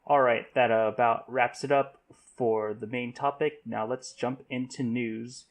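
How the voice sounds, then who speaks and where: A man hosting a casual podcast discussion, speaking haltingly with repeated false starts and stammers. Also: mid-range voice, fairly even intonation. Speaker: podcast host.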